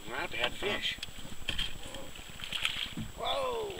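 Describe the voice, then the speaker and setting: A man's voice: extremely high pitch, wildly swooping pitch, extremely slow, creaky. A man hosting a fishing video with casual, folksy, exclamatory running commentary, recorded outdoors on a handheld camera in a small boat. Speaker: fishing vlog host. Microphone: handheld camera's built-in microphone. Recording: noisy outdoor camera, distant voice, clicks.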